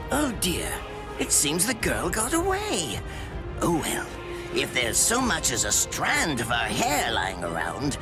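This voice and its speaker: An actor playing a gleefully sadistic mad-scientist captain in an anime game, theatrical and mock-polite with condescension. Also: male voice, high voice, heavily acted. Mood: menacing. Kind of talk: anime video game voice acting. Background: music.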